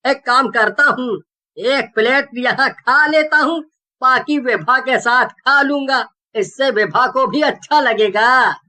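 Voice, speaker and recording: Woman voicing a devoted husband, high, bright, unhurried. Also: exaggerated voice. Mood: practical, polite. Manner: reassuring, gently chiding. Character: devoted young husband, indulgent, teasing and practical. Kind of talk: animated horror story dialogue.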